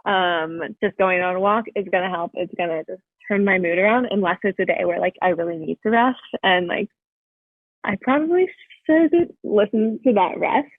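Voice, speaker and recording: A woman's voice: mid-range voice, normal speed. A young woman chats as a podcast guest over a video call and fills her talk with "like." Her voice comes through a narrow, phone-like line. The sound is dry, with no room echo. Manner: chatty, full of "like" fillers. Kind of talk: casual interview chat.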